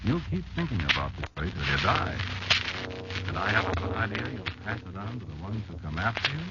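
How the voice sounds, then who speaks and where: An actor playing an old insect elder who warns his child, telling it deliberately and ominously with dramatic pauses in 1940s radio drama style. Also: fairly low pitch, sing-song, heavy background noise. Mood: grave, confiding. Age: older. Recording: muffled old 1940s radio recording, loud music.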